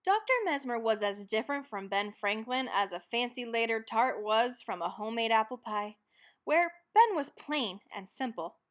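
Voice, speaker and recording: A woman reading a children's book aloud as narrator, expressively and dramatically, leaning on key words and pausing for effect. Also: fairly high pitch, animated, fairly bright tone. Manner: dramatic, with stressed words and pauses. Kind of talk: expressive scripted storybook reading.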